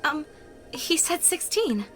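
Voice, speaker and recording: A woman voicing an earnest young warrior sister in an audio drama. Her voice sits high as she asks her questions openly, with faint music in the background. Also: big pitch swings, takes it slowly, a bit bright, heavily acted.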